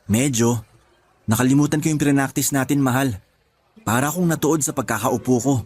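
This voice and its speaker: A man acting as a discouraged, bashful young boyfriend in a radio drama, with a hesitant, soft-hearted manner. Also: medium pitch, brisk, lightly acted. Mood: dejected. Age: young adult. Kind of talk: Tagalog radio drama dialogue.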